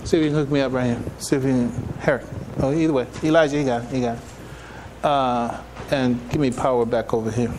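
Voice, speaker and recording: A man giving a public religious lecture as an imam, speaking in a halting, deliberate way and often repeating his phrases. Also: medium pitch, fairly even intonation, background noise. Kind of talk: public religious lecture, partly reading from notes. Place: live public address in a room.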